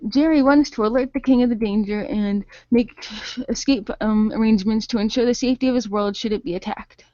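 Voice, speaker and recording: A woman's voice: fairly high pitch, brisk, mellow, dry recording. Woman running an improvised radio play, stumbles a bit, dry dull-sounding mic. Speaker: narrator and game master. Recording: dull lo-fi voice recording.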